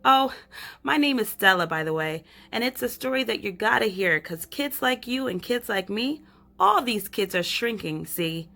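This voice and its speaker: A woman's voice: on the high side, lively intonation, moderate pace, gravelly, bright, forceful tone, exaggerated voice. Woman doing a chatty shrunken girl narrator, storybook read-aloud, slightly worn voice. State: losing her voice. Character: chatty young girl who has shrunk.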